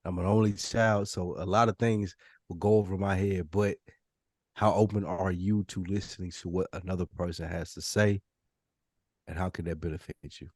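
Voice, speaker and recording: A man's voice: low voice, fairly even intonation, moderate pace, a little mellow, no room sound, clean studio sound. A man talking casually in a group podcast discussion, blunt and slangy, with easy swearing. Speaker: podcast panelist.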